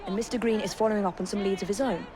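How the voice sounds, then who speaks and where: An actress playing a prim, impatient young Victorian assassin with a curt, dry manner. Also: female voice, mid-range voice, fairly even intonation, very fast, a crowd in the background, lightly acted. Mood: irritated. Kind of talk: video game cutscene acting.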